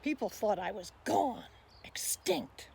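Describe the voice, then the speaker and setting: A woman's voice: medium pitch, expressive, slow pace, gravelly, darkish tone, a little hiss or hum. A woman voicing a proud, rare woodpecker in a dramatic, rhyming storybook delivery. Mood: proud, earnest. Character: proud, rare ivory-billed woodpecker back from extinction.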